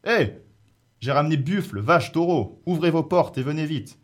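A man voicing a young giant shepherd hero from a folk epic, bold and defiant, with booming, declamatory calls. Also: normal speed, a little mellow, lightly acted. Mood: hearty calling.

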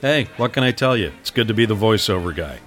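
A cheeky, boastful announcer type played by a man in a comedy audio drama. He is coy and teasing, with very animated intonation over faint music. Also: lowish voice, quick pace. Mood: coy, smug.

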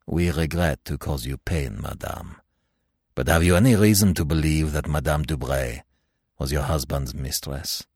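A man reading an audiobook, voicing a courteous, formal older magistrate who speaks low and quietly. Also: very animated, moderate pace, dry recording, clean studio sound, heavily acted.

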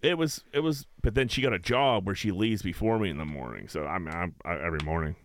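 A man's voice: deep voice, lively intonation, fast, a little hiss or hum. A forty-year-old man co-hosting a talk show in a casual, crude, conversational style.

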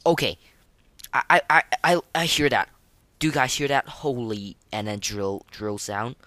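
Halting casual game reviewer, dry sound. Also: mid-range voice, expressive, pushed and bright, no echo. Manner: hesitant, halting, rambling delivery; opinionated, slangy. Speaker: podcast host.

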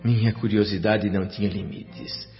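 A man's voice: lowish voice, steady tone, moderate pace, lightly acted. An actor with a Brazilian accent playing an earnest, grateful spirit who narrates his own story in a radio drama, reverent and literary in manner. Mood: reflective narration. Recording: dull radio recording, faint music.

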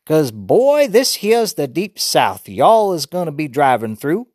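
Male reader doing a joking dad in an overblown Southern drawl, lifted and swinging pitch. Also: sing-song, unhurried, a little mellow, no room sound, studio quality. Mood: mocking. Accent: put-on rural Southern US. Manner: teasing, exaggerated drawl. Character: joking father mimicking a rural Southern drawl.

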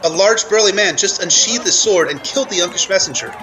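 A man's voice: high voice, lively intonation, a little fast, voice pushed up, rough recording. A man playing an urgent field correspondent reporting live from a battle in a radio news play. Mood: tense, alarmed. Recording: loud battle crowd noise behind. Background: battlefield crowd effects.

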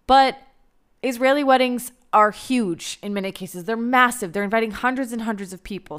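A young woman with an American accent talks straight to the listener and gives advice in a casual monologue. Her voice is smooth and clear. She is blunt and candid, and she swears freely. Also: mid-range voice, a bit bright. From United States. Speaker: advice vlogger.

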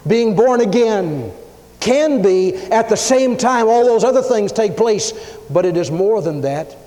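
A man preaching a sermon, emphatic, swinging his pitch and loudness as he acts out the people he quotes. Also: animated, a little slow. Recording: long hall reverb.

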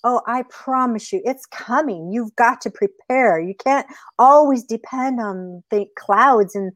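A woman's voice: a little high, a little slow, no room sound, voice pushed up, lightly acted. A woman voicing a sly male trickster rabbit in a folktale, coaxing and falsely innocent. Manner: coaxing, wheedling reassurance. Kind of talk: oral folktale storytelling.

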